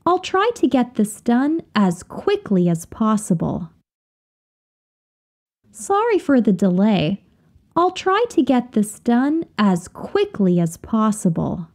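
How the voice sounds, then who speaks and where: A woman reading example sentences slowly and carefully, repeating each phrase for learners to copy. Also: fairly high pitch, big pitch swings, darkish tone. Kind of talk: slow, clear drill reading of example phrases.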